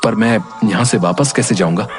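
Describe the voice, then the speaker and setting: A brave young cartoon hero voiced by a man, determined and earnest. His voice sits a little low and is delivered dramatically, with music clearly underneath. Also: brisk, natural voice. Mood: worried, planning. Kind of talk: Hindi animated fairy tale voice acting.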